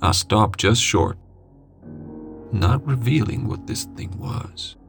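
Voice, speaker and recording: A man reading a scary first-person story in a dramatic, suspenseful way with deliberate pauses. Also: lowish voice, vocal fry. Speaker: horror story narrator. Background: music.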